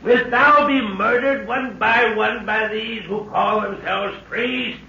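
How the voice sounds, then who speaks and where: A high, slow ancient herald. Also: male voice, gravelly, bright, forceful tone, exaggerated voice. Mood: exhorting. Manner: archaic, declaiming and ceremonious. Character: solemn male herald of an ancient rock city. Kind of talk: 1930s radio drama acting. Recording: roomy, distant, dull old radio recording.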